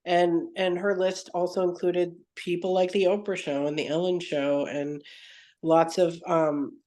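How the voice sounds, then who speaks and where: A woman's voice: lowish voice, flat delivery, a little slow, a little mellow. Earnest woman telling her story in an interview. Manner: earnest, digressive, frequent self-corrections. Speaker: podcast guest.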